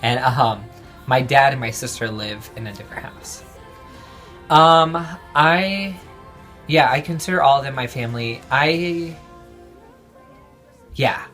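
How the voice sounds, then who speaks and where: A man in his twenties being interviewed, talking casually and hesitantly with many pauses and restarts. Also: mid-range voice, a bit bright, soft background music. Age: 20s.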